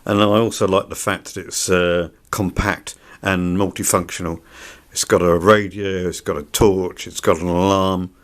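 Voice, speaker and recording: A man's voice: sits low, level tone, normal speed, a bit bright. A man giving an unscripted product review in a plain-spoken, somewhat hesitant way. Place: indoors.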